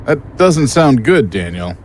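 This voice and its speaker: A man's voice: medium pitch, sing-song, slow pace, darkish tone, a little hiss or hum. An actor playing an easygoing, wryly nervous male sidekick in an audio drama. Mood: puzzled, concerned.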